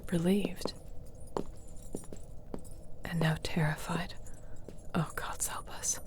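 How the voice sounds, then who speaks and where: A woman's voice: on the low side, little pitch movement, slow pace, whispered. A woman playing an earnest, loyal sorceress accused of a crime, defiant and desperate, in a fantasy audio roleplay. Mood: hushed, tense.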